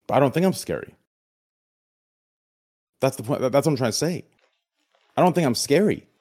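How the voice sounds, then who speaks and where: A deep-voiced man chatting candidly as an interview guest, excitable and blunt, swearing casually and often checking that he is understood. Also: brisk. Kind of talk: casual confessional chat.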